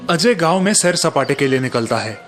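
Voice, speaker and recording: A man's voice: fairly high pitch, animated, brisk, fairly bright tone, faint music behind. A man narrating a scripted story as its storyteller.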